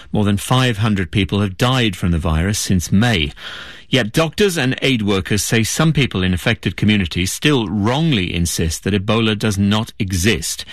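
A man presenting the news in a measured, formal way. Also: lowish voice, dry recording, studio quality.

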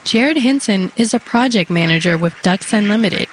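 A woman host reading a short scripted radio segment with an even, polished delivery. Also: mid-range voice, moderate pace, slight background noise.

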